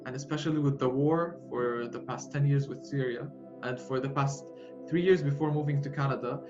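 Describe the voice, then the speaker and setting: A young man in his twenties with a Jordanian Arabic accent, telling his own story in an interview with frequent pauses, fillers and restarts. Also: medium pitch. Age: 20s. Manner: halting, with many fillers and restarts. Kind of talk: personal storytelling. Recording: muffled, with music behind.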